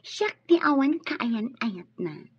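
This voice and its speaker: A woman's voice: high-pitched, sing-song, takes it slowly, heavily acted. A woman playing a coy, teasing young single nurse in a radio drama. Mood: chatty. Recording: muffled radio sound, faint music.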